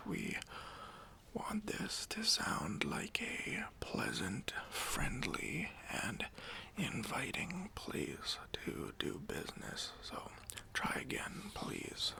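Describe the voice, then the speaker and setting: A performer voicing a smug, self-important boss in a whispered ASMR job interview roleplay, condescending and awkwardly hesitant, with a breathy, gravelly whisper. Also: mid-range voice, huge pitch swings, takes it slowly, sharp tone, full character voice. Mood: patronizing.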